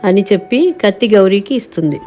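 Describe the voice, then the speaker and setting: A woman's voice: medium pitch, lively intonation, brisk, dark tone, faint music behind. A woman narrating a scripted moral story for children.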